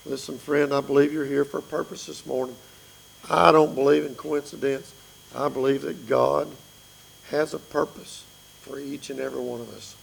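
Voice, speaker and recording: A man in his fifties with a raspy voice, preaching an earnest sermon that pleads with his listeners. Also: mid-range voice, steady tone, normal speed, slight background noise, rough recording.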